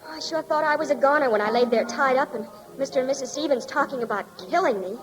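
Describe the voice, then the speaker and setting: An actress playing a gushing, grateful young woman, excited, in 1940s radio style. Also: sits high, fast, faint music behind, close to an everyday voice, poor audio. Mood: gushing, relieved.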